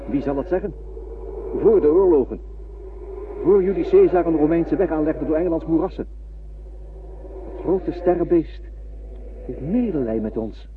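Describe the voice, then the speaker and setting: A man's voice: a little high, lively intonation, normal speed, pauses often, lightly acted. An actor playing a solemn, mystical spaceship crewman resigned to death in a radio drama, poetic and prophetic. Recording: distant, noisy, phone-like old radio recording.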